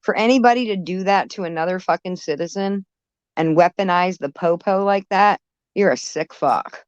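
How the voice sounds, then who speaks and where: A woman's voice: lowish voice, moderate pace, clean tone, no room sound. A woman chatting casually on a live stream in a blunt, profane way, on slightly dull, lo-fi audio.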